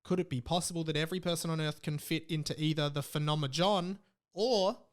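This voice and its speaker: Higher-voiced Australian man, casual podcast host. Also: fairly even intonation, fairly quick. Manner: rambling, interrupts his own reading with asides. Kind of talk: casual chat, reading out listener messages.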